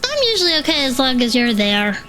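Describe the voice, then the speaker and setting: A woman playing a timid, gentle former adventurer and sidekick in a fantasy audio drama. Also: high voice, very animated, fast talker, soft background music, exaggerated voice. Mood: timid, unsettled.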